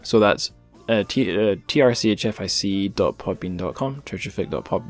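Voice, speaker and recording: A man hosting a podcast, talking in a relaxed, rambling way with light jokes. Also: lowish voice, normal speed, faint music behind. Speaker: podcast host. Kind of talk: casual podcast chat and plugs.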